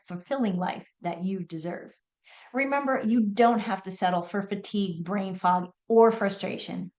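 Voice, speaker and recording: A woman's voice: mid-range voice, a little mellow, muffled recording. Woman in her fifties, encouraging podcast host. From United States. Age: 50s. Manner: encouraging, addresses listeners directly. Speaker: podcast host and menopause mentor. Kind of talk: motivational solo podcast talk.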